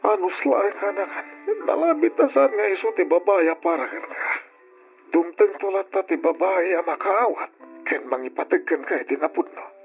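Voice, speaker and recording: An emphatic, high-pitched concerned uncle. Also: male voice, animated, normal speed, gravelly. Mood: earnest counsel. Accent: Filipino. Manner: emphatic, exclaiming, fatherly. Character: concerned older uncle, animated, advising his nephew. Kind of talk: old radio drama acting. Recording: dull old radio recording, music behind.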